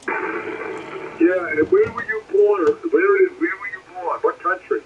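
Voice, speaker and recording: Man, Brooklyn accent, a bit high, blunt and joking, distant muffled radio signal with light hiss. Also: measured pace. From Brooklyn, New York. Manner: blunt, joshing. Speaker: ham radio operator. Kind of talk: ham radio contact. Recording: distant, dull radio signal with faint noise.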